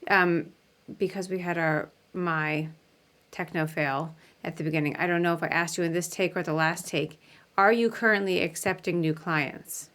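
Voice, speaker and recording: A woman hosting a travel podcast over a live video call, asking questions and giving quick friendly affirmations. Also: on the low side, fairly even intonation, fairly bright tone. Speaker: podcast host. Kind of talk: casual interview hosting.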